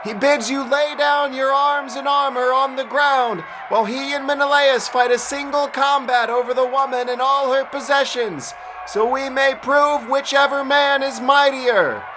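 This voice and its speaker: A man's voice: very high voice, fairly even intonation, unhurried, noisy background. A man playing a proud warrior of ancient Greek epic, addressing armies in formal, declamatory oratory for an audio drama. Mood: loud, proclaiming.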